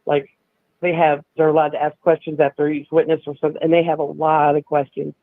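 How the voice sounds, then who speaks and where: Raspy, hoarse man on a phone line. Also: on the high side, monotone, no room sound. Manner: halting, restarts often, agreeable. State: hoarse from being sick. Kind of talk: casual chat.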